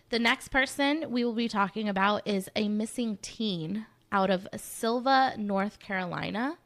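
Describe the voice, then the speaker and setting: A woman co-hosting a live broadcast segment on missing persons, agreeable and reading reports steadily. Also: mid-range voice. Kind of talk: casual live show chat.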